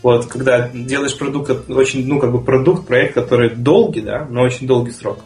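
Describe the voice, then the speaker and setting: A talkative man co-hosting a casual panel chat, who is quick to argue his point. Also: mid-range voice, darkish tone, soft background music. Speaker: co-host. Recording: roomy echo.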